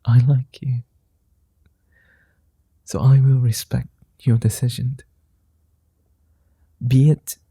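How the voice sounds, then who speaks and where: A man voicing a gentle, flirtatious male vampire in an ASMR roleplay, speaking softly and formally and slipping into whispers. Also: mid-range voice, gravelly, slightly rough, no echo, exaggerated voice. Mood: teasing. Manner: soft-spoken, slow, formal, drifting into whispers.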